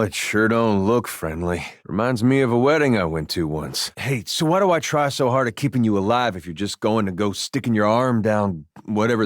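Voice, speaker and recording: An actor voicing an easygoing, good-hearted farmer turned adventurer in a video game, with a folksy, dryly funny delivery. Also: male voice, on the low side, dry recording. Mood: amused, casual. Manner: folksy, laid-back, dry deadpan humor. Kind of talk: video game character dialogue.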